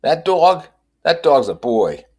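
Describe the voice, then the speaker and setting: A man's voice: a little high, big pitch swings, slow pace, mellow, breathy edge, pitched above the performer's own voice, exaggerated voice. A man voicing a gray old street seller in a novel reading, with folksy, wheedling sales patter. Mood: admiring. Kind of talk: audiobook character reading.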